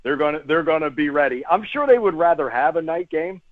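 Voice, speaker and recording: Phone-line male sportscaster, higher-pitched and emphatic. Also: animated, fairly quick. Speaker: sports play-by-play broadcaster, interview guest. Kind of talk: conversational sports analysis. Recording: tinny, phone-like guest line.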